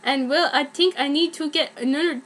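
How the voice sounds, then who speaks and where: A woman's voice: sits high, fairly quick, a bit bright. A woman giving a mock-dramatic, theatrical amateur reading of a story. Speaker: reader of fan fiction.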